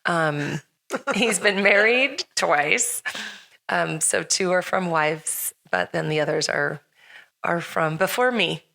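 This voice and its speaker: A middle-aged woman with a slightly hoarse, raspy voice hosts a casual podcast chat, rambling with lots of fillers and frequent laughs. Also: on the low side, slow, pushed and bright. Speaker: podcast host.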